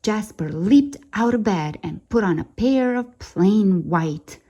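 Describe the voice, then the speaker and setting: A woman narrates a children's picture book with theatrical suspense. Her pitch moves around in a very animated way, and she takes her time, stretching key words for effect. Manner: dramatic, suspenseful delivery with drawn-out key words. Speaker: storyteller reading a children's picture book aloud. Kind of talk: expressive read-aloud storytime narration.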